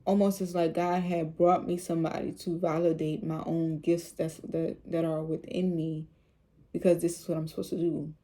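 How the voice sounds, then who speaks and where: A woman with a low, soothing voice, speaking casually and with rambling repeats as she talks about faith on her vlog. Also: flat delivery, moderate pace, mellow. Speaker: vlogger.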